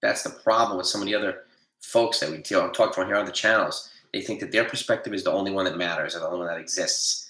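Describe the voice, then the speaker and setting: A man hosting a casual livestream, reading viewer comments and answering them bluntly, nasal from allergies and a cold and often clearing his throat. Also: low voice, quick pace, pushed and bright, reverberant, low-quality recording. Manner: blunt, argumentative, defends his opinions. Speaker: livestream host.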